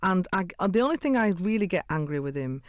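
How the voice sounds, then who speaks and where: A woman's voice: on the low side, sing-song, fast, no room sound, dull audio. A middle-aged woman from Sheffield, an effusive singer chatting in a radio interview and laughing often. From Sheffield, England.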